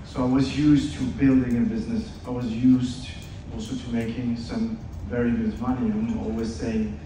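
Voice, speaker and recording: A man's voice: mid-range voice, level tone, moderate pace, warm tone. A man, telling his story in an earnest motivational talk to an audience. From Switzerland. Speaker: speaker at an event, podcast host. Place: large event hall. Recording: distant echoey hall with noise.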